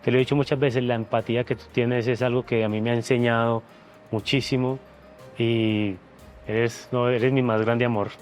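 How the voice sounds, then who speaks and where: A man's voice: medium pitch, little pitch movement, moderate pace, faint music behind. A man, speaking in an emotional interview in an earnest, reflective way and repeating words as he searches for them. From Venezuela, raised in Colombia. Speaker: interview guest, former reality show contestant.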